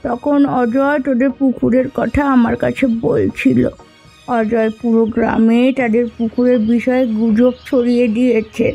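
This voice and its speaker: A woman voicing a weary, gossipy old village granny in a cartoon, drawing her words out slowly. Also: a little high, little pitch movement, vocal fry, dark tone, husky, low-quality recording. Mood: confiding. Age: older. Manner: slow, drawn-out, complaining. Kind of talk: Bengali fairy-tale cartoon dubbing. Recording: music bed under the voice.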